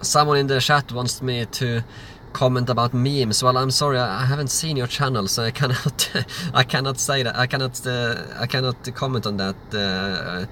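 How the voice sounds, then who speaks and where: A man's voice: medium pitch, steady tone, normal speed, hardly any pauses, sharp tone, a little hiss or hum. A man, hosting a livestream Q&A and answering chat questions in a loose, rambling way. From Sweden. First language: Swedish. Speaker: livestream host.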